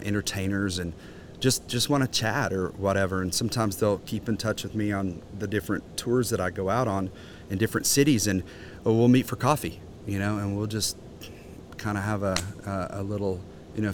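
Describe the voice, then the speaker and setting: A man's voice: sits low, fairly even intonation, a little fast, slight background noise. A man in his forties, a guest in a casual interview, talking earnestly with many restarts. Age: 40s. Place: outdoor conference grounds.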